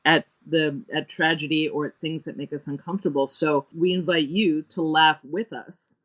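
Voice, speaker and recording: A woman's voice: low-pitched, fairly even intonation, moderate pace, a bit bright. A woman speaking as an interview guest about her theatre work, earnest and emphatic as she thinks aloud. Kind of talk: reflective interview talk.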